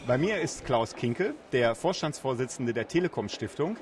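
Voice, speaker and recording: Male interviewer amid clear background noise. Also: medium pitch, lively intonation, moderate pace. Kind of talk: broadcast-style interview questions. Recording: noisy event ambience with traffic.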